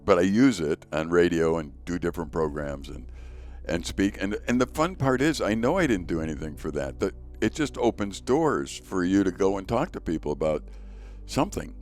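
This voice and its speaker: A man hosting a faith podcast, with a very deep voice, talking earnestly and telling stories in casual conversation. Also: sing-song, normal speed, a little mellow, soft background music. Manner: earnest, storytelling, repeats words as he goes. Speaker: podcast host.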